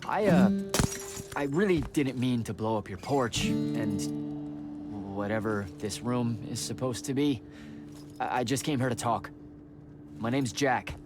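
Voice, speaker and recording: Young fantasy game hero: casual, wry, exasperated, animated mid-range voice, music underneath. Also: male voice, mid-range voice, takes it slowly, pauses often. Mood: apologetic. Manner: casual, sarcastic, faltering when flustered. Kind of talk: naturalistic video game cutscene acting. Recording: music behind the voice.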